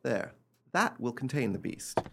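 A man playing an imperious, coolly cruel, excitable gentleman heir in an old-fashioned radio play, speaking in a theatrical, commanding, formal way. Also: medium pitch, a little slow, gravelly, heavy background noise. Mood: smug, composed.

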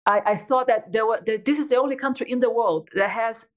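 A woman's voice: fairly high pitch, fairly quick. A woman, chatting as an interview guest and telling her story with lots of restarts and fillers. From Brazil. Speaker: guest entrepreneur. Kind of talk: casual interview chat.

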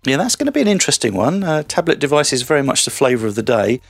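A man's voice: medium pitch, sing-song, fast talker, creaky, no room sound, faint music behind. A man presenting a tech show year in review, with breezy, teasing banter with his co-host.

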